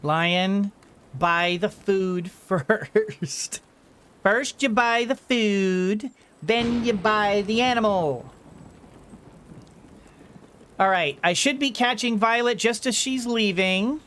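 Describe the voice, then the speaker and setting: A middle-aged woman hosting a let's-play in casual, rambling style. She talks slowly at a medium pitch, playfully talking to herself, over faint background noise. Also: a bit bright. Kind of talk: casual gameplay commentary.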